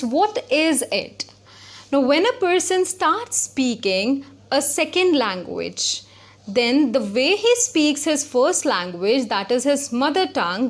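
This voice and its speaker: A woman giving a spoken lesson, explaining step by step and repeating her points. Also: high voice. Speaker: English teacher.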